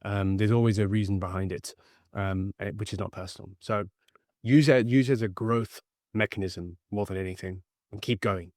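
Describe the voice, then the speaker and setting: A man's voice: lowish voice, steady tone, normal speed, a little mellow, no echo, clean studio sound. A man speaking as a podcast guest in a conversational interview, earnest and emphatic as he gives advice. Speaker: podcast guest, actor and startup founder.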